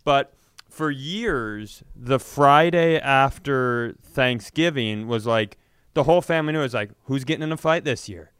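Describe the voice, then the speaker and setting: Clear-voiced man telling profane comic stories. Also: medium pitch, a little slow, fairly bright tone, dry recording, studio quality. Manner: profane, exasperated storytelling. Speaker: comedy podcast host. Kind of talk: casual comedic chat.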